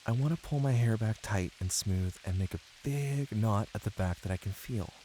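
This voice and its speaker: A man's voice: lowish voice, moderate pace, warm tone, slight background noise, voice pushed up, close to an everyday voice, poor audio. A man voicing a restless, wistful young American wife, plaintive and insistent as she longs for things. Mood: longing.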